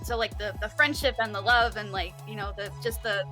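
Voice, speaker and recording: A woman's voice: medium pitch, fairly even intonation, fairly quick, bright, forceful tone, soft background music. A woman chatting casually as an interview guest, effusive and informal, often breaking into giggles. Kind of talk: casual chat.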